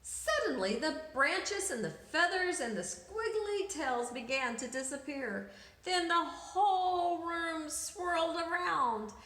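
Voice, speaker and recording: Slow, high-voiced woman reading a storybook. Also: lively intonation, sharp tone. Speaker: children's story reader. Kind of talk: expressive picture-book read-aloud. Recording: echoey room.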